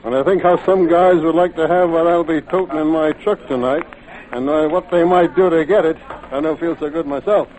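Actor as plain-spoken trucker, noisy old radio. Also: male voice, on the high side, steady tone, brisk, natural voice. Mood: knowing, uneasy. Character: knowing, easygoing working-man truck driver. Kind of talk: old-time radio drama acting.

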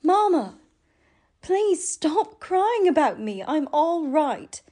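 Woman playing a gentle, soft-toned young daughter. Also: high-pitched, big pitch swings, takes it slowly, slight background noise, heavily acted. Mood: hurt, composed. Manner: polite, restrained. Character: gentle, dignified young daughter, quietly hurt. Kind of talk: radio drama acting.

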